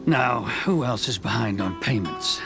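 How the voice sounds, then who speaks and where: An actor playing a self-satisfied, shady tax collector in a video game, musing smugly to himself. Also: male voice, medium pitch, animated, measured pace, vocal fry. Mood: scheming. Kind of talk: acted video game dialogue. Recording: game music behind the voice.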